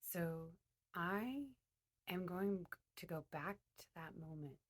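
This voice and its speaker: A woman leading a guided meditation, speaking to her listeners in a deliberate way with pauses in mid-phrase. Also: fairly low pitch.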